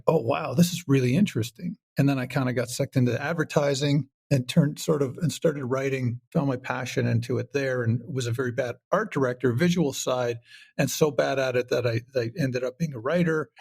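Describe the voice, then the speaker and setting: A man's voice: medium pitch, a little fast, gravelly, darkish tone, breathy edge. A man chatting casually as an interview guest, talkative, rambling and self-deprecating. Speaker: podcast guest, writer and audio director.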